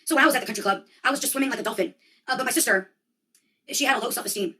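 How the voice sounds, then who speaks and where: An American woman delivers a casual, rambling monologue at a very quick pace. She is slangy and theatrical, slipping into mock dialogue. The microphone sounds some distance away. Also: on the high side, pushed and bright. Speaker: monologue host.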